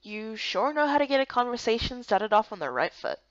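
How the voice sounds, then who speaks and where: A woman voicing a spirited, incredulous young guard recruit in a visual novel game, expressive and questioning. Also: a little high, a little fast, no room sound, dull audio. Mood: indignant.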